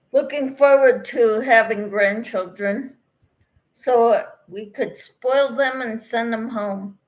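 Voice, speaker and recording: Slow, chatty woman hosting a live chat. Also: a little high, poor audio. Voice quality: speaks in a monotone, as her husband says. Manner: slow, chatty, laughs often. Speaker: host reading viewer comments. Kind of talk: casual livestream chat.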